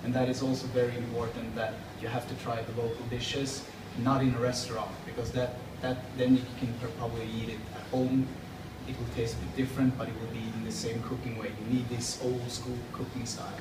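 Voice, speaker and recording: A man's voice: mid-range voice, little pitch movement, fairly quick, few pauses, noisy background. Swedish man, echoey hallway, far mic. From Sweden. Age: 30s. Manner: thoughtful, hesitant with fillers and restarts. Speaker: interviewee. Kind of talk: casual interview answers. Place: school corridor with plastic chairs. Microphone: far camera.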